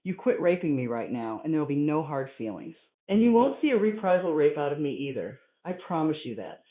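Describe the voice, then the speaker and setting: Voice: mid-range voice, big pitch swings, brisk, natural voice. A reader voicing a bumbling, boastful male prison inmate in a comic story, with folksy bombast. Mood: bargaining. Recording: echoey room, thin phone-like sound.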